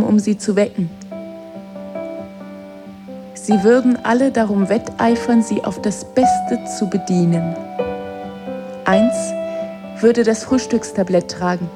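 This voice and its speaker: A woman's voice: medium pitch, natural voice. A woman playing a lonely, pensive schoolgirl who tells herself stories in a radio play. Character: lonely, wistful schoolgirl telling herself fairy tales. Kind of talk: storytelling inner monologue in radio play. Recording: loud piano music behind.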